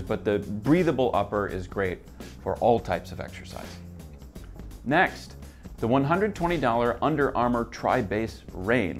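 A man in his thirties hosting a scripted gear news roundup, seated at a desk in an office and talking briskly from his notes. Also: lowish voice. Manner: brisk, upbeat presenter reading from notes.

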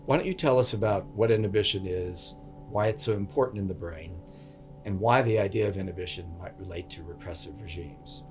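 Casting: male interviewer, lowish voice, measured prepared questions, faint music behind.

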